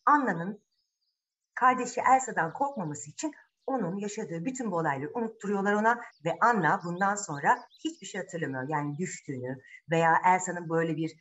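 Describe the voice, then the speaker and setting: A woman's voice: lowish voice, animated, brisk, a bit bright. A woman storyteller narrating a fairy tale informally for children, dramatic and full of questions to her young listeners.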